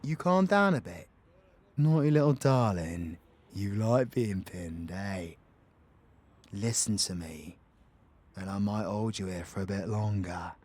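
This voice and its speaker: A man's voice: fairly low pitch, slow pace, pauses often, a little mellow. A British man playing a cocky, foul-mouthed but protective supervillain in an audio roleplay, with brash, teasing, slangy delivery. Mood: intimate, protective. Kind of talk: audio roleplay acting addressed to the listener.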